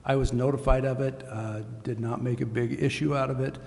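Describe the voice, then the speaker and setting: Gravelly, mellow man in a reverberant hall. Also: medium pitch, fairly even intonation, brisk. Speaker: city administrator. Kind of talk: explaining at a public meeting. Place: council chambers. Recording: reverberant hall with faint noise.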